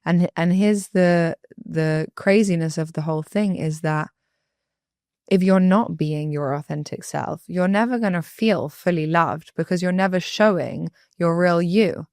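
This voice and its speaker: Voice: medium pitch, unhurried, darkish tone, no room sound, clean studio sound. A podcast co-host who talks earnestly and reflectively, often explaining with a filler "like". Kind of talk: casual relationship podcast chat.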